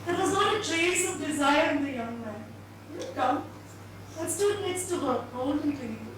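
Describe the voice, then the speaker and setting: A woman gives a dramatised reading of literary narration, phrasing it deliberately and expressively. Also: sits high, animated. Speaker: reader. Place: live reading at an indoor event venue. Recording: echoey hall, far mic, noisy.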